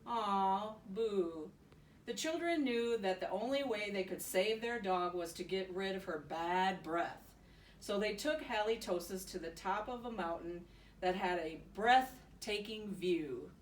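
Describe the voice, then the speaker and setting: Slow-reading woman in a roomy recording. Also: medium pitch. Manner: giggly, expressive reading with playful asides. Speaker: children's storybook reader. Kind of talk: bedtime story read aloud. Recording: roomy, distant mic with faint noise.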